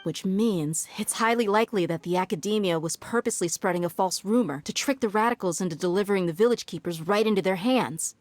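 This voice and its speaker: A woman's voice: lowish voice, slight background noise, natural voice. A woman voicing a confident, determined young mercenary in a video game, assertive and direct.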